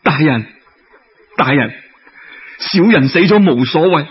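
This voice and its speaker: Male storyteller as distressed Cantonese scholar: raised pitch, wailing and emphatic, slightly rough, dull recording. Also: expressive, slow, exaggerated voice. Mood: desperate pleading. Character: wronged, desperate poor male scholar pleading innocence.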